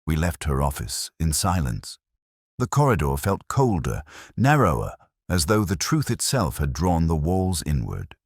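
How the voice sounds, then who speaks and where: Man, extremely low voice, lively intonation, solemn literary detective narration, measured phrasing. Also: husky, dry recording, studio quality. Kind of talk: scripted first-person detective story narration.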